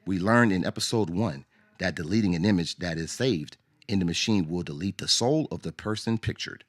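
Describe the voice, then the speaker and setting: A man's voice: deep voice, a little fast. A man reading scripted narration for a radio drama. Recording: narration over music. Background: music.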